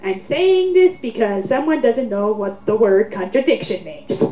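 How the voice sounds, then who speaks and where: A woman's voice: a little high, sing-song, measured pace, dark tone. A woman reading online comments aloud in a sarcastic, theatrical way, with mocking commentary. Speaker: host of a dramatic reading. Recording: roomy, muffled home recording.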